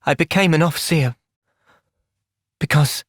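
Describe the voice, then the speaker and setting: A man playing a gentle, thoughtful young soldier hero in a video game, earnest and reflective in manner. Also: a little high, a little slow, dry recording, clean studio sound. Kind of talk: video game cutscene voice acting.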